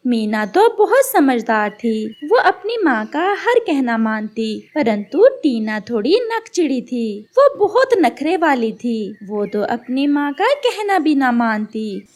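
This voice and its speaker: A woman's voice: high voice, darkish tone. A woman reading scripted narration for a children's cartoon story.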